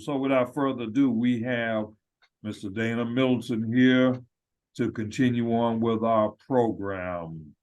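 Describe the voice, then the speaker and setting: A man's voice: medium pitch, darkish tone. A man hosting a channel introduces his guest in a casual, conversational way. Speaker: channel host. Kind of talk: show introduction.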